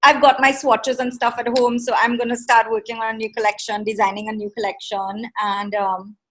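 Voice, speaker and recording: A middle-aged woman from India with a Trinidadian lilt talks as an interview guest. She speaks earnestly in a fairly high voice and often pauses on fillers and restarts. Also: little pitch movement, a little hiss or hum, poor audio. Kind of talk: casual interview chat.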